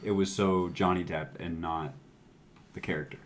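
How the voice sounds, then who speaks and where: A man's voice: low voice, fairly even intonation, moderate pace. A man co-hosting a casual movie podcast, making brief, understated remarks. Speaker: podcast co-host.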